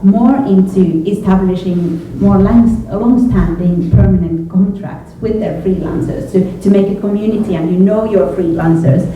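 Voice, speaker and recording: A middle-aged native Finnish woman with a Finnish accent, answering on a panel in a halting, thoughtful way and often repeating words. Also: on the low side. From Finland. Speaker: panelist, journalism educator. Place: echoey indoor event room with a panel. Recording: echoey room from far off, phone-like, faint noise.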